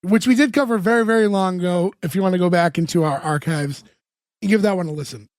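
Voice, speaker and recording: Brief: podcast co-host, man, high voice, dry close sound, chatty and filler-heavy, voice getting worn. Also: fast talker, no room sound. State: losing his voice. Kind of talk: casual chat, recapping a TV episode.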